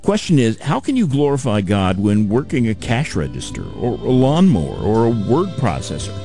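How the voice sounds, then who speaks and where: Male announcer, lively pitch, scripted promo with music bed. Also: normal speed. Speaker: radio announcer.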